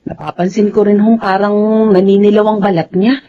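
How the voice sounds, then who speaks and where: A woman's voice: mid-range voice, little pitch movement, fairly quick, mellow, highs cut off, natural voice. A woman playing a worried, dutiful wife in a Filipino radio drama, speaking respectfully to an elder. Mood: concerned. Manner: respectful, anxious.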